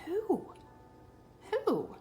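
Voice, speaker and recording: A woman reading a children's picture book aloud expressively, with dramatic emphasis and pauses. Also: warm tone, faint music behind. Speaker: read-aloud storyteller.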